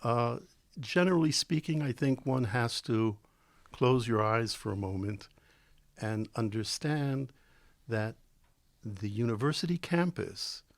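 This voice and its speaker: An older Israeli-born man, a guest professor, giving deliberate, measured answers in a studio interview. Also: mid-range voice, expressive, no room sound. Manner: deliberate, slow on key words, with ums. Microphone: studio microphone.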